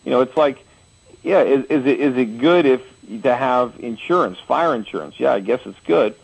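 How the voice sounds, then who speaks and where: A man's voice: mid-range voice, animated, normal speed, vocal fry, slightly rough, rough recording. A man in his forties hosting a call-in radio show, answering callers at length with homely analogies and frequent restarts. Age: 40s.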